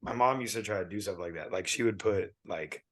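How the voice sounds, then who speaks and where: A man in his thirties with a low, deep voice tells long, animated stories on a casual podcast. His voice is wearing thin and he keeps clearing his throat. The sound is close and dry. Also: a little fast, no echo. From California. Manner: rambling, animated storyteller. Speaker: podcast co-host.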